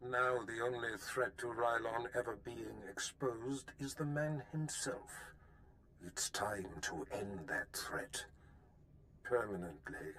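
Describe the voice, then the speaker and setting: A man playing a cold, scheming Sith lord in a video game, measured, menacing and condescending, with rumbling noise underneath. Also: fairly bright tone. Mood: cold menace.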